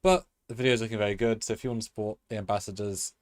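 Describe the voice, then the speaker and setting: A man with a fairly low voice who rambles in a chatty way, restarting his sentences as he goes. He co-hosts a casual live stream, and the sound is dry and close with no echo. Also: steady tone, rapid-fire. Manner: rambling, many fillers and restarts. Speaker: co-host. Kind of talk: live-stream review chat.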